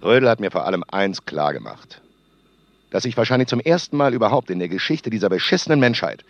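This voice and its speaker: Brooding tape diarist, dull lo-fi sound. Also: male voice, on the low side, expressive, quick pace, dry recording. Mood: bitter, determined. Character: obsessive, embittered male radio-play maker keeping an audio diary. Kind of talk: acted spoken tape diary in radio feature.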